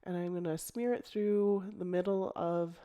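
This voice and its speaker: A middle-aged woman with a croaky voice from a cold, chatting casually as she explains a scrapbooking project, with ums and restarts. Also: lowish voice, measured pace, lo-fi sound. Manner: relaxed, chatty, thinking aloud with ums and restarts. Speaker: scrapbooking crafter. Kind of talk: casual crafting tutorial voice-over.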